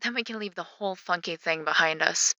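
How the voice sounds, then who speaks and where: A woman's voice: fairly low pitch, brisk, sharp tone, no echo. An actress playing a supportive, chatty young woman, a protective best friend, in a naturalistic audio drama. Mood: uneasy, concerned.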